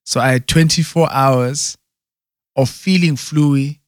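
A man with a somewhat high voice talks in a loose, rambling way. He stumbles through restarts and keeps asking "right?" to check he is followed. The sound is dry and close. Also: takes it slowly, no echo. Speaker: conversation partner. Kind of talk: casual chat.

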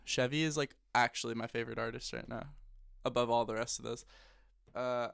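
Gravelly, laid-back young rapper guy. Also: medium pitch, little pitch movement, a little fast, fairly bright tone. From United States. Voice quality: slightly hoarse, raspy. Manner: laid-back, slangy, swears often. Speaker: rapper guest. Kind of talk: casual podcast chat. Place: recording studio.

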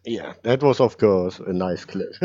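A man's voice: fairly low pitch, expressive, slow, dark tone, muffled recording. A man hosting a podcast interview in a casual, chatty style. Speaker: podcast host. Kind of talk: casual chat.

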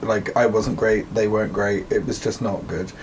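A man's voice: lowish voice, steady tone, normal speed, slight background noise. A man in his twenties with a posh English accent, co-hosting a podcast in casual, chatty talk with some hesitant fillers. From England. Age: 20s. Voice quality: posh, plummy voice. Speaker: podcast co-host. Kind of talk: casual chat.

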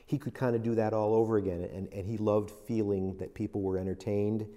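Reflective middle-aged man with a dark voice. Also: fairly low pitch, level tone, fairly quick, big-room sound. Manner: reflective, rambling, with many restarts. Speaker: interview guest, business veteran. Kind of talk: storytelling in a casual interview.

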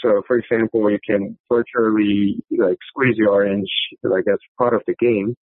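A man with a Korean accent answers as an interview guest in a lowish voice. He speaks conversationally and haltingly, with many filler words, over a thin, phone-like line with no room sound. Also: little pitch movement, no echo. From South Korea. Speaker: podcast guest, company executive.

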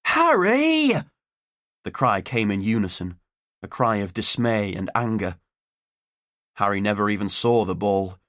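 A man's voice: fairly low pitch, no echo, clean studio sound. A man reading a story aloud as an audiobook narrator, in a scripted storytelling style.

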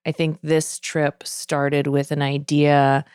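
Deep, smooth-voiced chatty woman. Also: little pitch movement, darkish tone, no room sound, studio quality. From United States. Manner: chatty, quick asides with frequent "like". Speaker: podcast guest, poet. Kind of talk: casual conversational chat.

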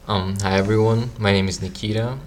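A teenage boy from Ukraine speaks in a very low, flat voice, answering as a podcast guest. He talks in a measured way with frequent ums and uhs. Faint ambient noise sits in the background. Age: teens. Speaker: student guest. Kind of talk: casual interview answers.

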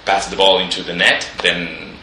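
A man's voice: sits low, level tone, moderate pace, echoey room, background noise. A man co-hosting a casual football podcast from his living room, analysing matches in a hesitant way that stumbles at the start of his points. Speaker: podcast co-host.

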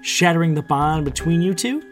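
A man's voice: medium pitch, huge pitch swings, fairly quick, over music. A man voicing a wicked woman spellcaster in an audiobook, with a taunting, menacing, emphatic delivery. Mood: gloating, ominous.

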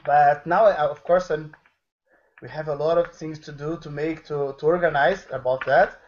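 A man, a native Portuguese speaker, giving a casual interview in broken English, halting and often repeating his phrases. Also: a little high, steady tone, lo-fi sound, a little hiss or hum. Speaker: podcast guest, dance teacher.

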